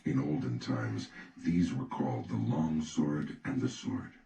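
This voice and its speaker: A man narrates an audiobook in a measured, instructive way. His voice is extremely deep with a gravelly creak. The recording sounds distant from the mic, with noise behind it. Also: animated. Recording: echoey, distant, noisy recording.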